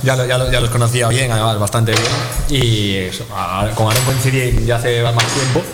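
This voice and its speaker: A man's voice: medium pitch, fairly even intonation, normal speed, heavy background noise. A man, a football player, chatting casually and laughing easily. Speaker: footballer guest. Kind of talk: casual chat. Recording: echoey room with loud crowd chatter.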